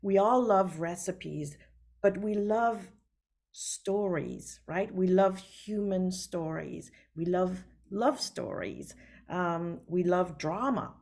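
A woman from Switzerland whose first language is French, an author talking over a video call from her book-lined study, unhurried and full of fillers. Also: fairly low pitch, expressive, gravelly, darkish tone. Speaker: author guest. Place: home study with full bookshelves. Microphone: laptop webcam on video call.

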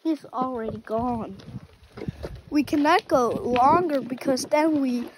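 A woman with a high, light voice chats playfully through a home vlog, rambling and tripping over her words. She speaks slowly. Clear background noise runs under the recording. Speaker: vlogger. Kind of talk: casual vlog chat.

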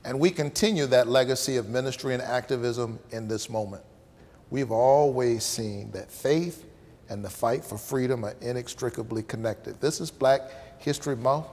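Pastor in a reverberant hall. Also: male voice, medium pitch, moderate pace. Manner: warm preacherly cadence, stretched phrases. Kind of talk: pastoral welcome and announcements. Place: indoors, church hall with blurred backdrop. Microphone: lapel.

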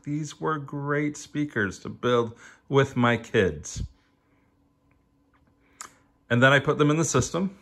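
A middle-aged man giving a reflective personal review, in short emphatic phrases with rhetorical questions and halting pauses. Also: medium pitch, creaky, sharp tone. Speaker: audio hobbyist reviewer.

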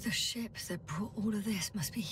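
A woman voicing an observant, level-headed space scientist in a video game, reporting what she sees in a measured way. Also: mid-range voice, far from the mic, noisy background, natural voice. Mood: calm, observant.

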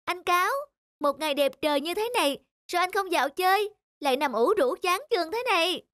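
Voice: extremely high pitch, lively intonation, a little fast, pushed and bright, dry recording, clean studio sound, full character voice. An actor voicing a small, good-natured sparrow in a cartoon, chirpy and earnest. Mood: friendly. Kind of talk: cartoon dub acting. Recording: music under the voice.

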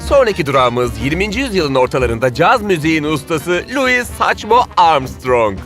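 Lively, chummy cool music buff. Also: male voice, a little high, moderate pace. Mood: enthusiastic, chummy. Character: laid-back, cool music-loving male footballer, enthusiastic buddy. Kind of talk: animated cartoon dub acting.

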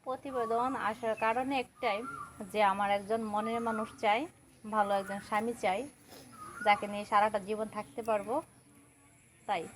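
A woman's voice: medium pitch, fairly even intonation, moderate pace, pauses often, slight background noise. A young woman from Kishoreganj with a Bangladeshi accent, speaking colloquially as a guest in a personal interview. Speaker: interview guest seeking a husband.

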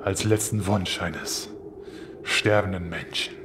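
A man's voice: deep voice, fairly even intonation, slow, background noise. A man playing a noble, defiant sword-fighting leader in a horror audio drama, speaking solemnly. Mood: pleading, grave. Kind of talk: German audio drama acting.

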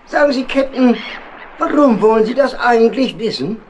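An actor playing a chatty, friendly keeper in a children's audio drama, on a noisy old record. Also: male voice, extremely high pitch, normal speed. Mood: chatty, amused. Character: chatty, friendly railway and canal lock keeper.

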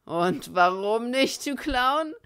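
A bullying, heavyset outlaw cowboy from a read-aloud story, barking his words loud and gruff, voiced by a woman. He drawls them slowly from a lowish voice. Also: expressive, no echo. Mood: mocking.

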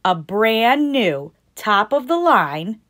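A woman reading a picture book aloud as a storytime host, deliberate and expressive for young children. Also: fairly high pitch. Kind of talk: scripted picture-book narration read aloud.

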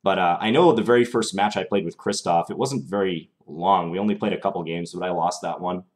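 A man's voice: sits low, fairly quick. A young man with a mild Minnesota accent, streaming live and thinking aloud through his chess moves in a small room. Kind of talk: live chess commentary while playing. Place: small indoor room at home.

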